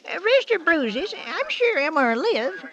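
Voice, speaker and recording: Very high-pitched Southern country fellow. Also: male voice, very animated, a little slow, pushed and bright, dull audio, heavily acted. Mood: folksy, musing. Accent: American South. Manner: folksy, rambling. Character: excitable, chatty small-town country fellow. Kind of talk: comic radio drama acting.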